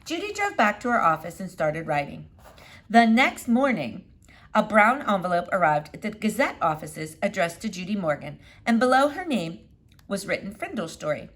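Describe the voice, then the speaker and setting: A woman narrating a children's novel in an expressive read-aloud style. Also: medium pitch, normal speed. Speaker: storybook reader.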